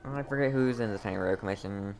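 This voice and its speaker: A man's voice: on the low side, fast talker, creaky, darkish tone, a little hiss or hum. A man giving casual live gameplay commentary as a streamer, laid-back and musing aloud. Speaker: game streamer.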